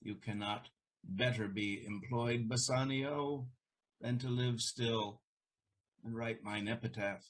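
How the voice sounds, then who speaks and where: Guy acting sad old merchant in shakespeare play, real slow, grave, resigned. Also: medium pitch, lightly acted, low-quality recording. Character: resigned, melancholy elderly merchant facing death.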